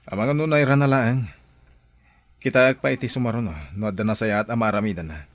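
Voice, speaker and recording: A man's voice: medium pitch, a little fast, no room sound, lightly acted. A man acting a reluctant, grumbling friend in an Ilocano radio drama, with an Ilocano Filipino accent.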